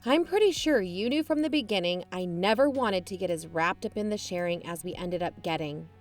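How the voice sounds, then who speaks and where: A woman's voice: lowish voice, expressive, fairly quick, soft background music, lightly acted. A woman playing a pragmatic, guarded amateur investigator in naturalistic audio drama dialogue. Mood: earnest, firm.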